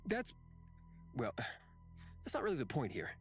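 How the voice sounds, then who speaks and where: A man's voice: medium pitch, wildly swooping pitch, normal speed, faint music behind. Grumpy, sarcastic young man. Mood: exasperated. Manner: dry, cutting, impatient. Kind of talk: visual novel voice acting.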